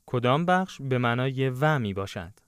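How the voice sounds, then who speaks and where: A man narrating a language lesson, giving clear, instructive prompts in a scripted style. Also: medium pitch, lively intonation, rapid-fire, no echo, clean studio sound.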